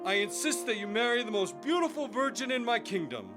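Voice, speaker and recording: A man's voice: very high voice, animated, a little fast. An actor playing a pompous, magnanimous king in a radio drama, speaking in a stately, declamatory manner. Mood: benevolent. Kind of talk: theatrical radio drama acting. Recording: music under the voice.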